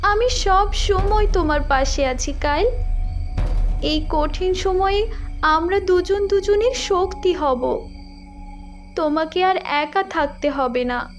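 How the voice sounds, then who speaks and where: A devoted young fairy woman played by a woman speaks tenderly and anxiously in cartoon fairy-tale style. Her voice is extremely high, almost childlike, and slow. Faint music runs behind her gentle, emotive delivery. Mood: supportive.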